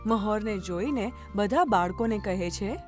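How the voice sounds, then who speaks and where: A woman's voice: medium pitch, a little slow, darkish tone, soft background music. A woman narrating a scripted children's story as the storyteller.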